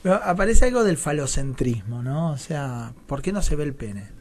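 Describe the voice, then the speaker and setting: A man's voice: medium pitch, expressive, normal speed, darkish tone. A man with a Rioplatense Argentine accent, giving reflective, digressive answers as a guest theatre director, often checking in with tag questions. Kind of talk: reflective radio interview talk.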